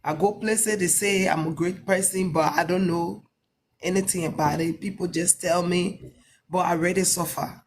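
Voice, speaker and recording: Halting woman caller from Liberia. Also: fairly low pitch, steady tone, slight background noise. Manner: halting, repeating phrases. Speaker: caller on a livestream. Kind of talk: personal testimony, casual chat.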